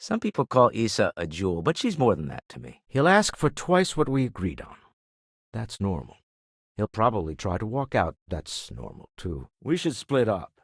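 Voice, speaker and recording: Wealthy older gentleman explorer, video game character, low voice, very varied intonation, refined, dryly witty, close dry recording. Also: huge pitch swings, moderate pace, gravelly, husky, dry recording, heavily acted. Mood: composed. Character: wealthy, urbane older businessman explorer, wry and genteel.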